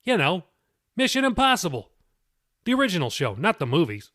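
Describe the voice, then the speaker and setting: A man's voice: sits high, wildly swooping pitch, fairly quick, sharp tone, no room sound, clean studio sound, voice pushed up, heavily acted. A man voicing a genial, joking middle-aged dad in an audiobook. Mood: playful, sheepish. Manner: folksy, sheepish humor. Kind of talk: audiobook character dialogue.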